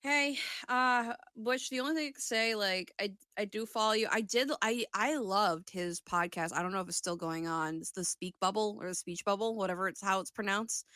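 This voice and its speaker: A woman's voice: mid-range voice, moderate pace, few pauses, sharp tone, no room sound, clean studio sound. A woman co-hosting a podcast, chatting casually in slangy, sweary banter. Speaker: podcast co-host and aspiring voice actor.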